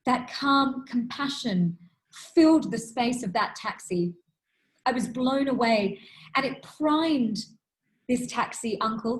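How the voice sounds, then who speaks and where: A woman's voice: fairly high pitch, rough recording. A woman giving a keynote talk, telling stories in an earnest, emphatic way. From Australia. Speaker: keynote speaker.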